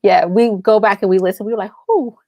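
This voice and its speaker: A woman's voice: medium pitch, quick pace, dark tone, no echo. A woman speaks as an interview guest in a mid-range voice with very little room sound. She explains her work in a casual, enthusiastic way and likes to illustrate points with examples. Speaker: guest, audio drama writer and producer.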